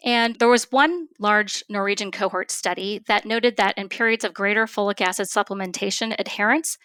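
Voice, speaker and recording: Bright-voiced woman giving measured expert answers. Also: medium pitch, smooth, no echo. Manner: measured, formal, precise explanations. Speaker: expert guest, family physician. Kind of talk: explanatory interview answers.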